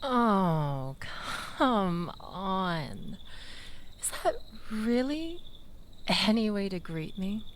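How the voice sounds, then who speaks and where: Woman acting as tipsy flirty ex gf in an audio roleplay, super slow n drawn out, swoopy teasing voice, faint crickets behind. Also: medium pitch, sing-song, a little hiss or hum. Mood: playful, coy. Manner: coy, teasing, mock-wounded, drawn-out with breathy dips. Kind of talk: intimate audio roleplay acting addressed to listener.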